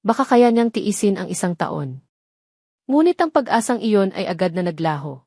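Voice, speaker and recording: A woman's voice: mid-range voice, big pitch swings, moderate pace, mellow, no echo, clean studio sound. A woman reading a scripted romantic drama as a story narrator.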